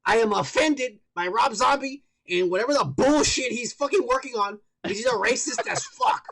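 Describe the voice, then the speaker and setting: A man co-hosting a podcast, rambling in crude, profane casual chat while high and drinking. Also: moderate pace, gravelly, a bit bright, a little hiss or hum. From United States. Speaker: podcast co-host.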